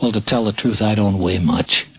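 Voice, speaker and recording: A man with an American accent, a sports columnist reminiscing in a halting manner with repeated phrases. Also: lowish voice, breathy edge. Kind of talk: anecdotal reminiscence. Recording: muffled vintage radio recording.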